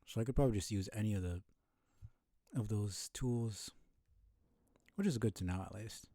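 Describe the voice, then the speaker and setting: Young male streamer, mellow and creaky, mumbled offhand play-by-play with the odd curse. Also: moderate pace, no room sound, clean studio sound. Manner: mutters to himself, low-key, swears when frustrated. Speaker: streamer playing a video game. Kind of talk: casual gameplay commentary.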